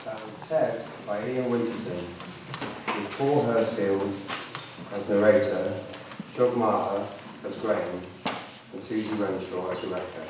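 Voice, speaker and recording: A man with a lowish voice announces credits at a live theatre event. He sounds far from the microphone, with heavy echo and clear background noise. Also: steady tone, unhurried, pauses often. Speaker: announcer reading the cast credits. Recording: very echoey, distant, noisy hall recording.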